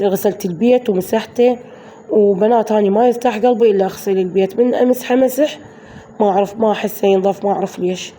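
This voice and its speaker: A woman with an Iraqi Arabic accent, hoarse from a flu, chatting through a voice-over of her daily home routine for her viewers. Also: medium pitch, warm tone, a little hiss or hum. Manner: chatty, addresses viewers as girls. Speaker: vlogger.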